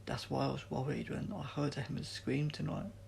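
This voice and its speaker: Storybook part: uneasy, evasive young male athlete, gravelly, middle register, played by a male narrator. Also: mid-range voice, steady tone, moderate pace, a little mellow, slight background noise. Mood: worried. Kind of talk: audiobook character dialogue.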